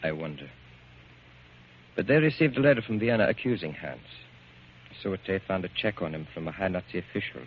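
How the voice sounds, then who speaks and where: An actor playing a cool, grave man with a measured, insinuating delivery in 1940s radio drama style. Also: deep voice, expressive, husky. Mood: coolly insinuating. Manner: measured, deliberate, coolly insinuating. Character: cool, grave man coldly revealing grim news. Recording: muffled, hissy 1940s radio recording.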